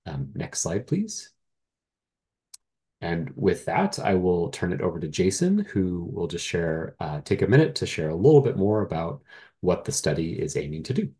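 A man's voice: deep voice, sing-song, normal speed, warm tone. Stuffy-nosed man with a cold. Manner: apologetic, hesitant with many ums. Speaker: nonprofit presenter. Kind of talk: meeting presentation. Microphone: video call.

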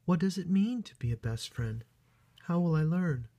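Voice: medium pitch, animated, normal speed, dark tone, voice pushed up. A reader voicing a small young bunny princess in a children's storybook, eager, kind and earnest. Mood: curious, concerned.

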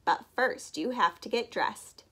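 A woman's voice: mid-range voice, lively intonation, unhurried, a bit bright, breathy edge. A woman voicing a patient, encouraging father frog in a children's storybook. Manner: coaxing, instructive.

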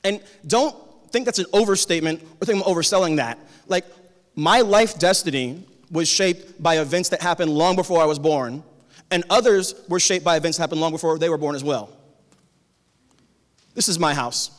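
A man delivers a keynote talk on a conference stage into a handheld mic. His voice sits a touch high, and his delivery is measured and conversational, sometimes rising into sermon-like emphasis. A long hall reverb trails his words. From Tacoma, Washington. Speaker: keynote speaker, high school teacher. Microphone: handheld cordless mic.

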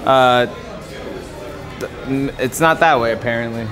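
A man's voice: mid-range voice, a little slow. A man in his thirties vlogging casually on a cruise ship, upbeat and laughing often, filmed on a handheld camera. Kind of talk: casual vlog chat. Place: busy cruise ship restaurant and lounge. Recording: loud music and crowd behind.

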